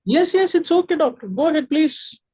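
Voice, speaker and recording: A man's voice: extremely high pitch, expressive, normal speed, darkish tone, voice pushed up. A man role-playing a worried 43-year-old female patient who answers a doctor deferentially in a clinical exercise. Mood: anxious, earnest. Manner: repeatedly addresses the listener as doctor.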